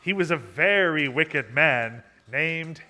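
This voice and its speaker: A man narrating a puppet show for children in simple, measured, theatrical phrases. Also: a little high, expressive, bright, forceful tone. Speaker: storyteller, narrator. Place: social hall, live broadcast.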